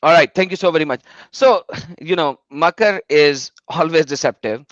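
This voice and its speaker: Combative high-voiced male debater. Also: moderate pace, a bit bright, no echo, muffled recording. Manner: combative, indignant. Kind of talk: live online debate Q&A.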